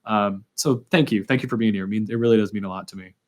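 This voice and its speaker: A man's voice: on the low side, fairly even intonation, fast talker. A young man hosting an interview in a casual, informal and self-deprecating way. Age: young adult. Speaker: podcast host, student.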